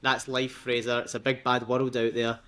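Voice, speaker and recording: A man's voice: medium pitch, completely flat delivery, normal speed, fairly bright tone. Chatty young Scottish man. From Scotland. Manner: chatty, laughs often, many fillers. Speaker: guest. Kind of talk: casual interview.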